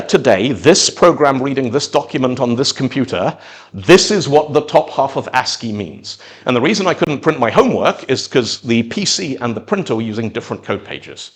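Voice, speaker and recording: A middle-aged man from Zimbabwe and the UK giving a conversational tech talk, telling it like a story with emphatic pauses. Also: mid-range voice. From born or raised in Zimbabwe, moved to the UK at ten. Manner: animated, acts out imagined reactions with emphatic pauses. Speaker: conference speaker.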